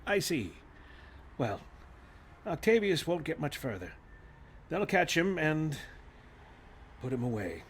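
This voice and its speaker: Man acting earnest academic, radio play, higher male voice, background noise. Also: big pitch swings, slow, long pauses. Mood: resigned. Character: earnest academic man explaining technical matters. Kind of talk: radio play script reading. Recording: clearly noisy recording.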